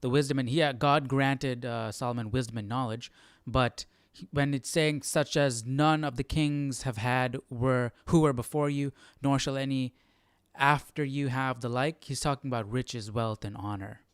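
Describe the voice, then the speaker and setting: Casual man with a clear, dry voice. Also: medium pitch, steady tone, normal speed, no room sound. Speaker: live study host. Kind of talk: casual informal commentary.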